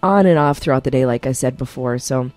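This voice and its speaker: A woman hosting a morning radio show, chatty and quick to laugh, losing her voice. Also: very deep voice, darkish tone, dry recording, soft background music. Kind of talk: casual morning radio banter. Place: radio studio. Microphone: studio microphone.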